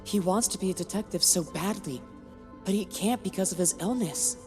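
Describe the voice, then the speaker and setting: A woman's voice: medium pitch, normal speed, over music, close to an everyday voice. A woman voicing the inner thoughts of a concerned, naive young detective in an anime dub. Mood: pitying.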